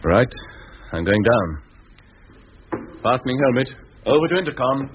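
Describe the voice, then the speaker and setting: An actor playing a brisk, exasperated male captain of a moon rocket crew, in 1950s radio drama style, giving clipped, authoritative orders. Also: mid-range voice, big pitch swings, lightly acted. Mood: tense, controlled. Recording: dull, noisy 1950s radio recording.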